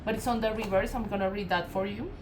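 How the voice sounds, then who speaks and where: A woman from Colombia with a Colombian Spanish accent, giving a casual channeled tarot reading in a meandering way, full of fillers, self-corrections and soft laughs. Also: medium pitch, steady tone, fast talker, noisy background. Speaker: tarot reader.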